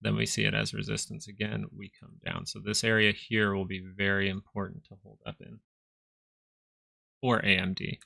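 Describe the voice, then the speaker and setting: A man with a gravelly, bright and forward voice talks a livestream audience through stock charts. His pitch sits low and barely moves, and he keeps a loose, chatty tone at a desk mic. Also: little pitch movement, moderate pace, no echo. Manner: casual, chart-pointing, conversational asides to viewers. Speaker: stock market livestream host. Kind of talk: casual live market commentary.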